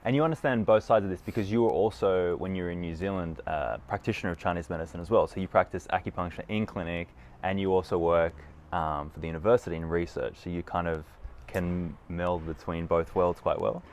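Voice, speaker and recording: A man's voice: low-pitched, fairly even intonation, fairly quick. A man, hosting a casual interview at his clinic and recapping his guest's answers, recorded outdoors with a lapel mic. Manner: friendly, summarizing questions. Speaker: clinic owner and host. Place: outdoor veranda, daytime.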